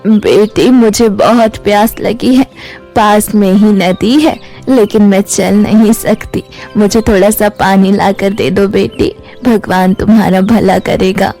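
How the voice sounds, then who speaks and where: A frail elderly granny from a cartoon fairy tale, acted by a woman, pleads slowly with drawn-out words. Her voice is pitched well down, with faint music underneath. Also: steady tone, warm tone. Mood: weak, pleading.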